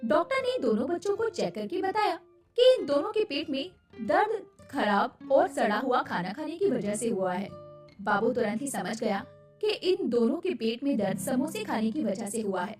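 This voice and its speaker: A woman reads a scripted moral story as narrator. Her voice is high and highly animated. It sounds echoey and a little away from the mic. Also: moderate pace. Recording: echoey, distant, music behind.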